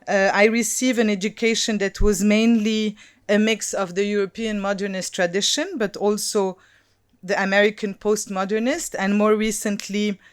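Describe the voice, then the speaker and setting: A woman in her thirties from Lebanon, whose first language is Arabic, giving a design talk in a thoughtful, halting way with many pauses and fillers. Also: mid-range voice. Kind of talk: conference talk.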